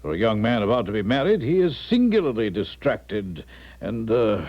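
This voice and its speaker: An actor playing an insistent, stuffy middle-aged father in a 1970s radio drama, formal and pressing with hesitant pauses. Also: on the low side, big pitch swings. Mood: polite, uneasy. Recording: dull 1970s radio broadcast recording.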